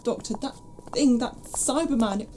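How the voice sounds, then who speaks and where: A woman in an audio drama playing a questioning, compassionate human companion, with an expressive delivery. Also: on the high side, normal speed, a little hiss or hum, close to an everyday voice. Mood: pleading.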